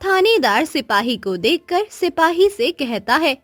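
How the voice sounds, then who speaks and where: High-pitched woman narrating a children's story. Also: sing-song, unhurried, dry recording, soft background music.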